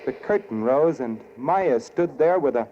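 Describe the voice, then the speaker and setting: An actor playing a haunted, wary murderer, narrating in brooding, dramatic 1940s radio noir style. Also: male voice, mid-range voice, lively intonation, measured pace, a little hiss or hum, natural voice. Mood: hunted, tense. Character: haunted, wary male murderer narrating his own crime. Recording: dull old radio broadcast. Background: dramatic music.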